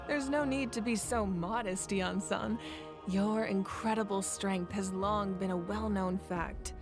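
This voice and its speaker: An actress playing a composed, candid and reassuring ruler in a video game. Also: female voice, medium pitch, animated, takes it slowly, over music. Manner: assured, candid. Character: composed, reassuring woman ruler carrying heavy burdens. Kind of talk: English game voice acting.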